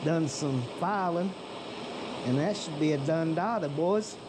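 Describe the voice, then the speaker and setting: Man, slightly high voice, folksy workshop chatter, faint background noise. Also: measured pace. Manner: folksy and chatty, talks to viewers as "y'all". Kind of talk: casual workshop narration.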